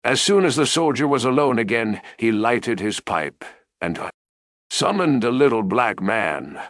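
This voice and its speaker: Expressive male storyteller, very lively pitch. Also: a little slow, no room sound, clean studio sound. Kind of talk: bedtime fairy tale narration.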